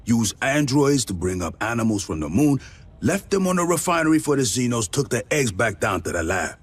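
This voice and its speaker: A man voicing a jaded, blunt marine officer with a husky voice, giving a sardonic game mission briefing. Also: fairly low pitch. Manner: sardonic, profane, plain-spoken.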